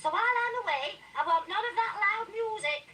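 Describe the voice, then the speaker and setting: A woman's voice: extremely high pitch, animated, fairly quick, pushed and bright, heavily acted. A woman voicing a fussy elderly grandma who bosses a band of bears around, with a scolding manner. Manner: scolding, bossy. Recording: distant noisy archive tape.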